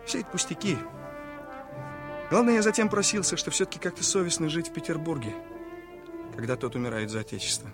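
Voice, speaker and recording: An actor playing an eager, naive young officer and younger brother in a theatrical, exclamatory radio drama style. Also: male voice, a little high. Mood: earnest, idealistic. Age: young adult. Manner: exclamatory, boyishly chatty, emotive. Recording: radio play with faint music.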